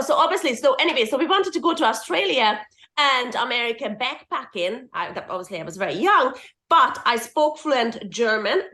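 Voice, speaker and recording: Woman in her 40s, bright higher register, talks in loose rambling restarts. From Hungary. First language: Hungarian. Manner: rambling, many restarts, lively. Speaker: guest, permanent makeup artist. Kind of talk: casual interview chat.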